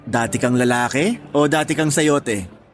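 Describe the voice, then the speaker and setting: A man's voice: mid-range voice, a little slow, lightly acted. A man playing a charming, flirtatious younger man who jokes a lot. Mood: joking. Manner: smooth, joking, flirtatious. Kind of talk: radio drama acting.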